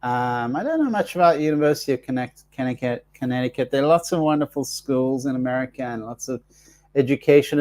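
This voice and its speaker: A man's voice: mid-range voice, dark tone. Rambling man with allergies, fillers. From South Africa. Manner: rambling, with frequent restarts and fillers. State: congested with allergies. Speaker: livestream host and healer. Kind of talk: casual livestream chat reading viewer comments.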